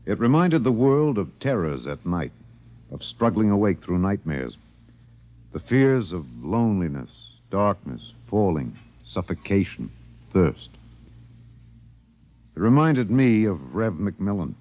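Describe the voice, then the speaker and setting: An actor playing a grave radio reporter, narrating a 1950s radio drama in solemn, measured phrases. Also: male voice, low-pitched, sing-song, heavily acted. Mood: somber, reflective. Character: grave male radio reporter, haunted friend of lost pilot. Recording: dull vintage 1950s radio recording.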